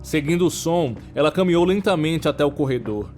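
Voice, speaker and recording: A man with a Brazilian accent narrating a scripted horror story in a measured, dramatic way. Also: a little high, expressive, a little mellow, faint music behind.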